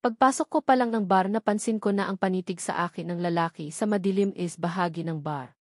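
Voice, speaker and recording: A woman's voice: lowish voice, fairly quick, no room sound. Filipino woman narrating a novel, dry close sound. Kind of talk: scripted first-person story narration.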